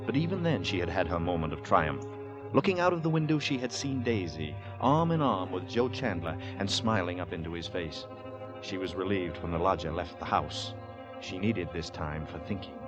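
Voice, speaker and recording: Low-voiced, grave radio suspense narrator. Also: male voice, expressive, moderate pace, lo-fi sound. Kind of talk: scripted 1940s radio horror narration. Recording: vintage radio broadcast, loud music underneath. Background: loud dramatic music.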